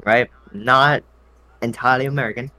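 Male co-host from Vietnam, mid-pitched, jokey, easygoing chatter. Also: steady tone, normal speed, bright, forceful tone. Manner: playful, jokey banter. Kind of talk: casual chat.

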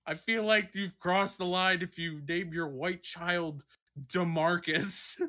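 A man's voice: high-pitched, steady tone, takes it slowly, bright, forceful tone. A young man co-hosting a casual stream, joking crudely and laughing often. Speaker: stream co-host. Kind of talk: casual chat.

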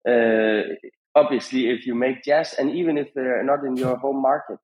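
A man giving conversational advice as a podcast guest, in halting phrases with frequent repetitions. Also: mid-range voice, steady tone, a little mellow, poor audio. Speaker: podcast guest, founder of a radio monitoring company.

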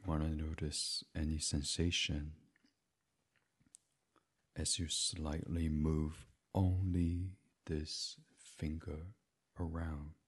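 A man with a low, heavily breathy and husky voice guides listeners toward sleep. His delivery is very slow, hushed and soothing, often slipping close to a whisper in an ASMR meditation style. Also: level tone.

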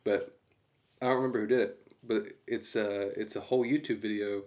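A laid-back man chatting on a podcast, his voice on the low side. He rambles through his thoughts with easy chuckles. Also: fairly even intonation, fairly quick, a little mellow. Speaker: podcast co-host. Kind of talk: casual chat.

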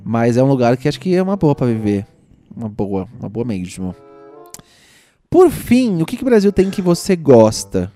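A man's voice: medium pitch, big pitch swings, moderate pace, mellow, faint music behind. A young man with a São Paulo accent, chatting casually and animatedly, full of slang and gushing repetition. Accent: São Paulo Portuguese. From Brazil. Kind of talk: casual chat.

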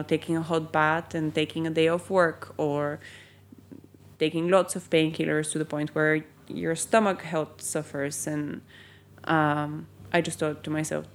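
A young woman from Bulgaria talks through her founder story in a casual, rambling way, with frequent ums and likes. Her voice sits quite low for a woman. A faint noise runs under the recording. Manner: chatty, many ums and likes, quick asides. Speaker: company founder. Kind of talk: casual interview.

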